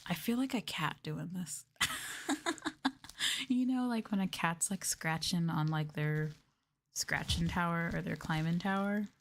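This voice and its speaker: A woman streaming ASMR, chatting casually and softly to her viewers, often slipping toward a whisper. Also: lowish voice, unhurried, pauses often. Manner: soft-spoken, often dropping into whispers. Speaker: VTuber streamer hosting an ASMR livestream. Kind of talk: casual ASMR soft talking to chat. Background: crinkling plastic and tapping trigger sounds.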